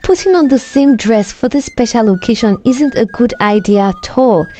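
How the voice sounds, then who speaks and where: Woman voicing an earnest young twin sister, mellow. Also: a little high, normal speed, natural voice. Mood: pleading.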